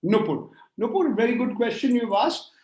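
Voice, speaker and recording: A man's voice: extremely high pitch, very animated, normal speed, a little mellow, echoey room. A man in his sixties answering audience questions in a lecture, emphatic and rhetorical, piling up phrases in lists. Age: 60s. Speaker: guest speaker. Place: remote call from a room.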